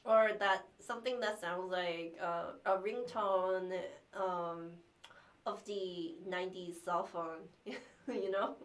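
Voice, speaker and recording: A young woman whose first language is Korean, sick with the flu, chatting with livestream viewers in a small room, halting and restarting often with light laughs. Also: on the low side, fairly even intonation. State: has the flu. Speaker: cellist streamer. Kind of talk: casual livestream chat reading viewer messages. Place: small practice room indoors.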